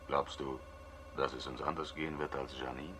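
A resigned space station crewman murmurs gravely in a very deep voice. His hushed, conciliatory words come through a clearly noisy recording in a science fiction radio play. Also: level tone, normal speed. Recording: noisy amplified background recording.

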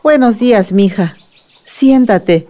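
Slow-talking tender grandmother. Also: mid-range voice, expressive, mellow. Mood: tender sorrow. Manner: tender, consoling. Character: caring, sorrowful village grandmother. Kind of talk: radio drama acting.

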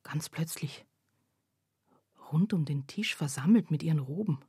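A woman playing a pensive first-person narrator in a literary radio play, speaking in a measured, reflective way. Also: sits low, warm tone, slightly rough, dry recording, clean studio sound, exaggerated voice. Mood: pensive, uneasy.

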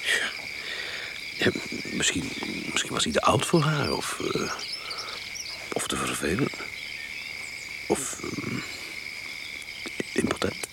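A man plays a timid, nervous guest who talks slowly and hesitantly, his low voice rising and falling widely. The radio play recording has a loud steady hiss. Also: gravelly, exaggerated voice. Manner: hesitant, stammering.

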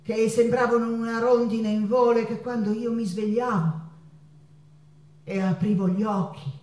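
An actress playing Frida Kahlo, a passionate woman painter confiding about her lovers in an intimate, halting theatrical monologue with playful teasing. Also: fairly high pitch, fairly even intonation, warm tone, lightly acted. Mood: playful, wistful. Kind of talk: theatrical stage monologue with dramatic pauses. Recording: echoey room, somewhat far from mic.

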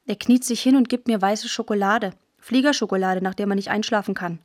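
A woman's voice: medium pitch, brisk. A woman narrating a first-person literary account in a radio feature, telling it in the present tense.

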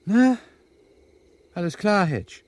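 An actor playing a brilliant, self-assured American professor and amateur detective in a radio crime drama, precise and didactic in manner. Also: male voice, sits high, very animated, slow pace, darkish tone, full character voice. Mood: impatient.

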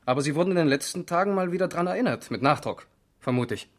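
A man's voice: a little high, lively intonation, fast. A man acting in a 1970s German radio crime drama as a controlled police lieutenant who questions formally and insistently. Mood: probing.

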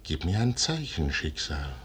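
A man's voice: very deep voice, sing-song, a little slow, a bit bright, husky, heavily acted. A man acting a proud, fatalistic middle-aged general in classical verse radio drama, solemn and declamatory. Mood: solemn, brooding.

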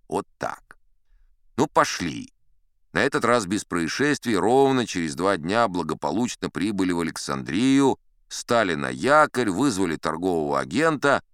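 Deep-voiced, wry old-salt sea captain. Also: male voice, a bit bright, no echo, clean studio sound, full character voice. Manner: conversational, wry raconteur with rhetorical asides. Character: seasoned male sea captain telling tall tales, self-assured and wry. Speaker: audiobook performer in character as storyteller. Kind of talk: theatrical first-person audio drama narration.

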